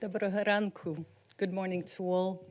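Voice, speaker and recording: A woman from Canada whose first language is Ukrainian, giving a formal conference presentation as an artist in a measured, solemn way that slows down on key words. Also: mid-range voice, flat delivery, a crowd in the background, noisy background. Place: conference room.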